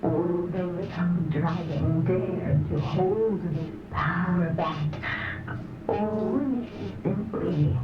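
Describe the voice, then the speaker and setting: A woman playing an ominous storyteller in a video game, probing in a deliberate, drawn-out way. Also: on the low side, highs cut off. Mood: ominous, urgent. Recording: distant, echoing, under loud game music.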